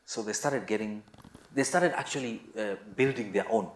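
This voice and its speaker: Low-voiced Kenyan man in an echoey room. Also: slight background noise. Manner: halting, repetitive, mixes in Swahili words. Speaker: musician, interview guest. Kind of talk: casual conversational storytelling. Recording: roomy, echoing sound.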